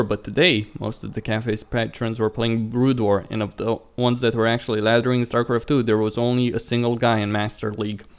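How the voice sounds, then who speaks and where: A man hosting a let's play, reading visual novel narration aloud as a story, sometimes stumbling over words. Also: mid-range voice. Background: faint music.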